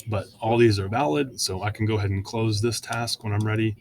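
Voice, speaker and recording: A man presenting software in an informal, conversational screen-share walkthrough, often hesitating with fillers as he explains each step. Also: lowish voice, fairly even intonation, a little fast.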